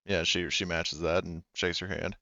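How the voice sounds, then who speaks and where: Low, smooth-voiced game master. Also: male voice, moderate pace, no room sound, muffled recording. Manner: relaxed, conversational narration. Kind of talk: narrating a tabletop game.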